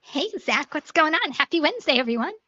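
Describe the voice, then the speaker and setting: A woman's voice: high-pitched, sing-song, brisk, pushed and bright, dry recording, muffled recording. A woman co-hosting a casual podcast, playful and teasing. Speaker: podcast co-host.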